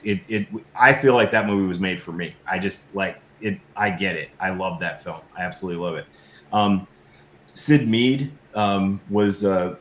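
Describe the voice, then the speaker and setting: A middle-aged man being interviewed casually, talking effusively and emphatically and often stammering over repeated words. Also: low voice. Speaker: guest, science fiction creator and designer. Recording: roomy echo, noticeable background noise.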